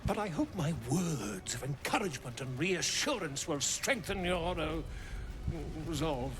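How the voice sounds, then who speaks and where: A man's voice: a little high, expressive, measured pace. An actor playing an awkward, kindly, self-doubting man in a video game, hesitant and wordy.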